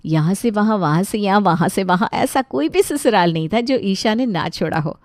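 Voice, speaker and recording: A woman's voice: mid-range voice, animated, normal speed, darkish tone, no echo, clean studio sound. A woman telling a scripted family moral story as its narrator.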